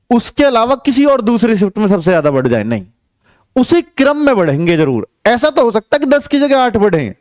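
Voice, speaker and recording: A man's voice: sits high, a little mellow, dry recording, studio quality. A man giving an informal explanatory lesson to students, repeating points and checking that they follow. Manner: explanatory, repetitive, checks listeners follow with tag questions. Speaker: exam coaching teacher.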